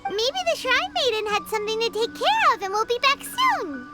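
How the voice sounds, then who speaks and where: A tiny, excitable fairy sidekick from a video game. The voice is squeaky and extremely high, swinging around with lively expression, timid yet chatty. Loud music plays behind it. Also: female voice, a little fast. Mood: nervous, cautious. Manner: refers to self in third person, expressive.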